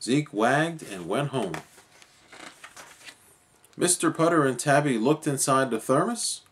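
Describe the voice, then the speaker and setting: A man's voice: medium pitch, animated, slow pace, slight background noise. A man narrating a children's story expressively as a storyteller.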